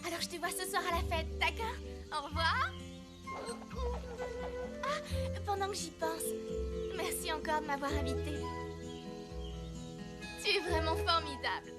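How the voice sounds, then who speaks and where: A very high, girlish cartoon elephant. She is sweet, talkative and eager. Loud music runs under her lines. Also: a little slow, pauses often. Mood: busy, excited. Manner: eager, chatty. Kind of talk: French-dubbed cartoon acting.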